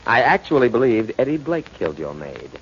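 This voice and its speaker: An actor playing a smug, boastful crime boss with a deliberate, commanding manner in a 1940s radio drama. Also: male voice, mid-range voice, very animated, natural voice. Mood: smooth menace. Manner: deliberate, commanding, repeats himself. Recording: muffled, noisy 1940s radio recording.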